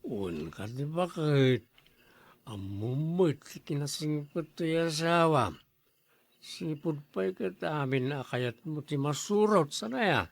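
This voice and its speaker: A man's voice: a little high, animated, takes it slowly, creaky, no echo, exaggerated voice. A man with an Ilocano accent playing a stubborn, drunken husband who pleads and argues in an audio drama. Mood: defensive, tipsy. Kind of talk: Ilocano radio-style drama acting.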